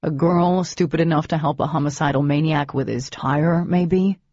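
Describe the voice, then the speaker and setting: A woman voicing a gruff, protective young man who talks in a blunt, sardonic way. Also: deep voice, steady tone, normal speed, darkish tone, no echo, dull audio.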